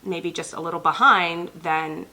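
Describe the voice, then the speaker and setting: A woman's voice: fairly low pitch, fairly even intonation, measured pace, bright, forceful tone, poor audio. A woman in her thirties from Ethiopia, a pediatric nurse practitioner hosting her own channel, talking earnestly to viewers with lots of ums. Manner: earnest, many ums, speaks directly to viewers. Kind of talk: personal talk to camera.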